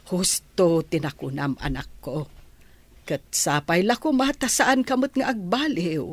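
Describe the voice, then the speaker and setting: A woman's voice: fairly low pitch, measured pace, no room sound. A woman acting a caring, moralising mother of a grown son, earnest and advising, with an Ilocano accent in a radio drama.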